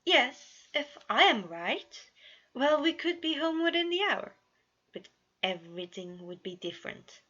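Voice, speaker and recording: A proud, flustered storybook prince, acted out by a woman, speaks in a raised, bright voice. He is dramatic and defiant, pushing his words out loudly. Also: high-pitched, big pitch swings, a little slow, muffled recording, pitched above the performer's own voice, heavily acted. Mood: nervous. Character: proud, theatrical, flustered male prince adventurer.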